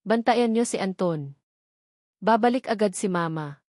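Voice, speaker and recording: A woman voicing a humble, anxious mother who sells vegetables, soft, polite and deferential, in an audio drama. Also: mid-range voice, lively intonation, a little slow, no echo, clean studio sound, lightly acted. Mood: tender.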